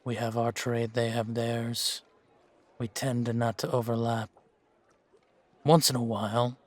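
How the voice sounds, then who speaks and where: Guy acting as a tired monster slayer in a fantasy audio rp, really slow n flat, laconic, thinks out loud. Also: medium pitch, natural voice. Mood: wary, dry. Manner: laconic, musing, measured with pauses. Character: male Witcher, world-weary, laconic monster-slaying mercenary. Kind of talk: fan-made roleplay audio drama, unhurried monologue to a companion.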